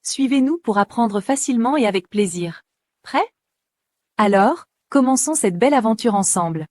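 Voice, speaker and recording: A woman's voice: on the high side, animated, normal speed, dry recording. A woman narrating a beginner language lesson in a cheerful, inviting scripted way.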